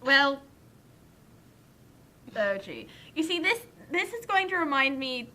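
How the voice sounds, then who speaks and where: High, bright-voiced woman commenting. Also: sing-song, slow pace, slight background noise. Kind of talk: casual reactive commentary.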